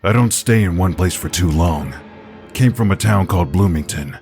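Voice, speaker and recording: Man acting a deep-voiced, weary veteran soldier. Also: animated, moderate pace, a little mellow, faint music behind. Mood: grave, stoic. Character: grave, weary veteran soldier, a wandering fighter. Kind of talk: dramatic fantasy audio drama.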